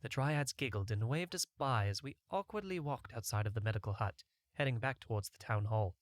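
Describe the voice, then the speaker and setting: A man's voice: mid-range voice, lively intonation, brisk, no echo. Guy narrating a fantasy audiobook, regular mid voice, really dry sound. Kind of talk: first-person scripted story narration.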